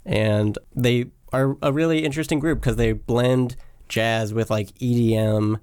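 A man's voice: medium pitch, measured pace, clean tone. A young man hosting a casual music podcast, his voice scratchy from a cold, chatting loosely with lots of fillers. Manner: relaxed, filler-heavy, says "like" often. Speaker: podcast host and editor. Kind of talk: casual music-recommendation chat.

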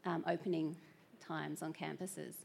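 A woman's voice: deep voice, level tone, a little slow, creaky, darkish tone, breathy edge. A woman moderating a panel, reflective and hesitant, with plenty of "you know" and "um". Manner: reflective, hesitant, with many fillers. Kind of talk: panel discussion.

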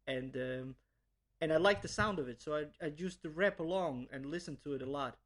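A man's voice: on the high side, brisk, fairly bright tone. A young man from Bosnia, a language teacher, answering interview questions earnestly with many restarts and repeated words.

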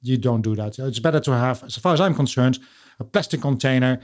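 Man, Dutch accent, podcast host, casual chat, blunt, skeptical, middle pitch. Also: fairly quick, a little mellow. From Netherlands.

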